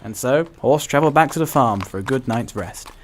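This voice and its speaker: A man's voice: mid-range voice, expressive, brisk, a little hiss or hum. A man reading scripted narration for an animal story.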